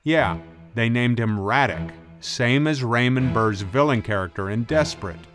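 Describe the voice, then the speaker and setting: A man's voice: medium pitch, lively intonation, unhurried, a bit bright, soft background music. A man hosting a scripted video essay in a conversational style, as a film commentator.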